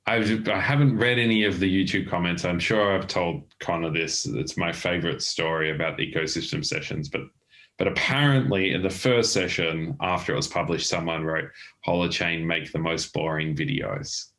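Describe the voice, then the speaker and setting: A man's voice: deep voice, moderate pace, fairly bright tone. A man hosting a casual interview over a video call, rambling and joking, often restarting his sentences. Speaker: host.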